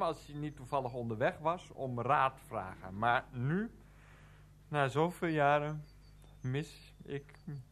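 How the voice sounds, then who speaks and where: An actor playing an earnest, lovelorn, hesitant son in a Dutch radio play, speaking haltingly as if to himself. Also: male voice, medium pitch, fairly bright tone. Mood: wistful.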